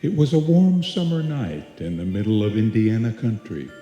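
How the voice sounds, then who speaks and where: A man's voice: lowish voice, lively intonation, moderate pace, warm tone, faint music behind, close to an everyday voice. A man playing the somber narrator of a small-town suspense tale in a live old-time radio drama. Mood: somber, atmospheric. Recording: echoey hall, live stage performance.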